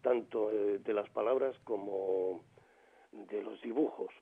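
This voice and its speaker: A man's voice: on the low side, slow, gravelly, husky. A man in his sixties from Granada, a poet calling in to a radio interview, speaking reflectively with many fillers and restarts. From Granada, Spain. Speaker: poet, phone guest. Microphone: telephone line. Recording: phone-line radio call.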